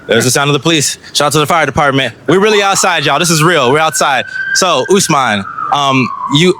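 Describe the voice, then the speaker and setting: A man's voice: a little high, expressive, fairly quick, background noise. A man hosting an on-location podcast interview outdoors at a film festival, talking in a hyped, slangy, playful way. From Los Angeles. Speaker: podcast host interviewing filmmakers.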